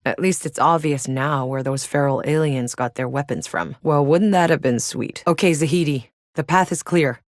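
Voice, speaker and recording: Woman doing tough wry soldier lady for a video game, low pitched, terse and matter of fact, dry studio sound. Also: normal speed, hardly any pauses, no echo, lightly acted. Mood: matter-of-fact, focused. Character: tough, wry female soldier scouting a hostile alien world. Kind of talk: scripted video game voice lines.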